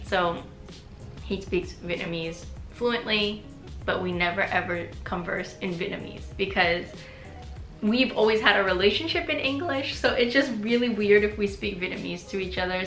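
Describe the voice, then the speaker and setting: Young adult woman, chatty vlogger, bright tense voice, roomy echo, faint music underneath. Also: medium pitch. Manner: chatty, frequent "like" fillers, laughs often. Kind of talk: casual personal vlog.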